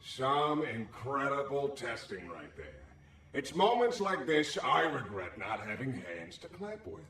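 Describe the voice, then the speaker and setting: An actor voicing a sly, streetwise, wisecracking anomaly in a video game, cocky and sardonic with folksy slang. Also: male voice, mid-range voice, very animated, unhurried, a bit bright. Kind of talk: video game character acting. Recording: roomy echo, slightly distant.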